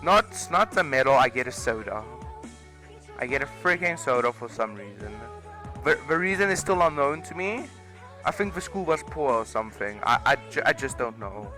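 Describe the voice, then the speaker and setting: A man's voice: medium pitch, lively intonation, normal speed, bright, forceful tone. A young man, telling a personal story casually with exaggerated comic emphasis, over music. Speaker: video creator.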